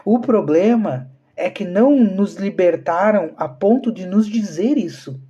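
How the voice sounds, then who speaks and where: A man's voice: high voice, unhurried, dark tone, breathy edge, dull audio. A man, talking earnestly to viewers on a live stream and asking rhetorical questions. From southern Brazil. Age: 30s. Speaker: spiritual channel host.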